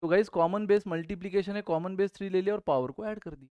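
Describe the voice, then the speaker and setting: A man's voice: high voice, steady tone, quick pace, no echo, phone-quality sound. A man with an Indian accent teaching a math lesson while he has a sore throat. He calls his students guys and keeps asking questions and then answering them himself. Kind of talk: online exam-prep math lesson.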